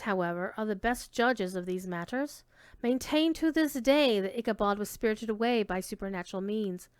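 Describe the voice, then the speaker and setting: A woman reading old-fashioned literary prose aloud as a dramatic storyteller, with expressive, measured delivery. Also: a little high, clean tone, dry recording. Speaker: reader giving a dramatic reading.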